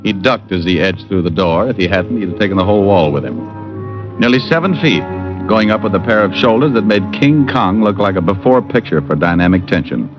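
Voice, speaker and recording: A hard-boiled American private eye, talks in a very deep voice with dry, terse wisecracks. It is old radio detective acting, on a muffled vintage recording with faint music behind. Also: male voice, a little fast. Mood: wry. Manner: wry, terse, deadpan quips. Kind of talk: 1940s-50s radio drama acting and narration.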